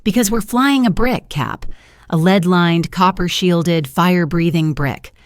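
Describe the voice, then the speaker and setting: A woman voicing a tough, wry female ship mechanic in an audio drama, explaining things briskly. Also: on the low side, no room sound, studio quality, lightly acted.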